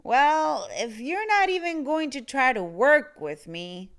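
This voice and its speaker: Casting: big slobbery storybook boy monster, performed by a woman, whiny would-be menace, lively pitch swings, slow slurping delivery. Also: exaggerated voice. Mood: whiny, warning. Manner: slurping, drawn-out, whining.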